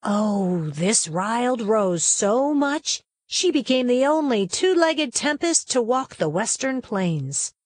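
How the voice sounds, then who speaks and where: A woman narrates a storybook with expressive, folksy delivery. Her voice sits a little high, and the sound is fairly dry and close. She leans on key words for dramatic emphasis. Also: takes it slowly, few pauses, no room sound. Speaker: read-aloud narrator.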